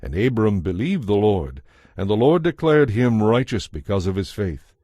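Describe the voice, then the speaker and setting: A man narrating scripture from a script as a solemn, measured storyteller. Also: on the low side, very animated, dark tone, no echo, clean studio sound.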